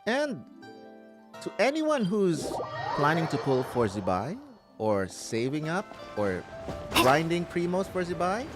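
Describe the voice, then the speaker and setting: A man's voice: a little high, wildly swooping pitch, slow. A man giving casual live commentary as he streams a video game playthrough. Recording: game music behind the commentary. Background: video game music.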